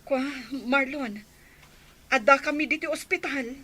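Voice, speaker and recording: A woman's voice: high voice, a little slow, pushed and bright. A woman playing an anxious, dutiful elder daughter in an audio drama. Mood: worried.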